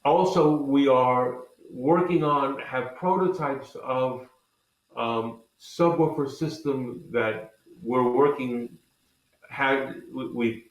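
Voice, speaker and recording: A man's voice: mid-range voice, takes it slowly, muffled recording. A man speaking as an interviewed company president, explaining products conversationally and with enthusiasm. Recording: echoey, roomy sound.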